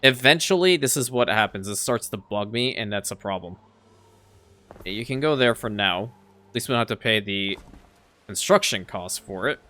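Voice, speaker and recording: Bright-voiced man chatting over gameplay. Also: fairly low pitch, lively intonation, normal speed. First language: Farsi. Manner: chatty, repeats words as he restarts sentences. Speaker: let's play creator. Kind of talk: casual gameplay commentary.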